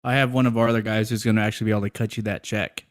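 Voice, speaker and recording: Deadpan man on a prank call, very dry sound. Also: fairly low pitch, racing, dry recording. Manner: straight-faced, hesitant official patter. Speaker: prank caller posing as consumer center agent.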